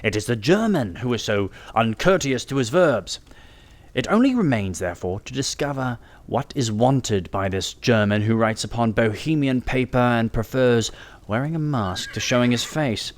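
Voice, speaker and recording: Audiobook detective, male, acted out: brilliant and self-assured, mid-pitched with lively swings, precise and didactic. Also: moderate pace, lightly acted. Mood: keen, triumphant. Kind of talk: audiobook character acting.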